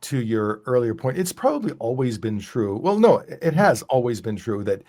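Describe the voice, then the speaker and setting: A man's voice: mid-range voice, sing-song, normal speed, a little mellow. Long-winded male podcast host. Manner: long-winded, thinking aloud with restarts. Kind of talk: interview host chat.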